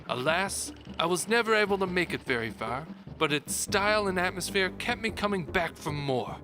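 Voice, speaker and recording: Guy reading a game review all theatrical, highish voice, really animated, music under it. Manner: theatrical, mock-grand. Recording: music behind the voice. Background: background music.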